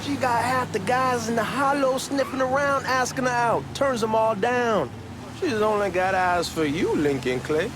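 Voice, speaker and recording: An actor playing a cocky, talkative young hustler with an American Southern accent in a video game, speaking in loose slang. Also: male voice, very high voice, moderate pace. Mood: joking, teasing. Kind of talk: acted game dialogue, casual banter. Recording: car engine and street noise behind. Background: moving car ambience.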